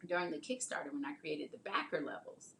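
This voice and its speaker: A woman host with a creaky, slightly low voice chats casually and recounts things as they come to her. A faint rumbling noise sits behind her. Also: expressive, brisk, fairly bright tone. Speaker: host, marketing strategist and children's book author. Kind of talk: casual interview chat with storytelling.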